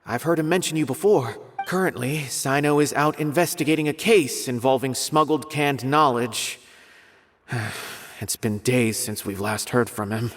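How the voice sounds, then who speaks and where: A man's voice: medium pitch, moderate pace, lightly acted. A man voicing a polite young academy scholar in a video game, earnest and a little hesitant. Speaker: scholar asking for help. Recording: game audio with music behind.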